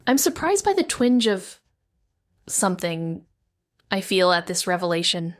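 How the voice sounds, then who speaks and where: A woman's voice: fairly low pitch, big pitch swings, unhurried, no room sound. A cautious young woman detective, played by an actress, narrates in a wry, thoughtful first-person voice. The recording is close and dry, with no room sound. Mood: reflective. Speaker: narrator and lead character. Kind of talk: noir audio drama narration.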